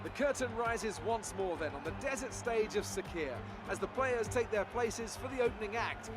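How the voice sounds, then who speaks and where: A race commentator, high-pitched, theatrical, polished broadcast voice, loud music behind. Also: male voice, lively intonation, moderate pace. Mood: grand. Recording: game audio with loud music underneath.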